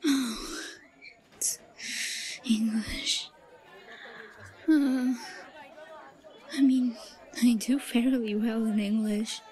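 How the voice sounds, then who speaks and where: A woman's voice: on the high side, fairly even intonation, very slow, pauses often, a little mellow, some chatter behind, low-quality recording. A woman playing a timid, lovestruck yandere schoolgirl in an audio roleplay, stammering and murmuring breathily with hesitation. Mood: hesitant, anxious. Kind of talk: intimate audio roleplay acting.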